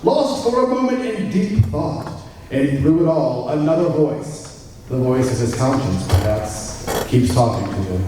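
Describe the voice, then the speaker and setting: A very echoey, distant recording of a man as a dramatic old-time radio narrator. The voice is mellow, fairly high and animated, full of suspense. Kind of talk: 1940s radio narration performed live. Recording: echoey hall, distant mic, faint noise.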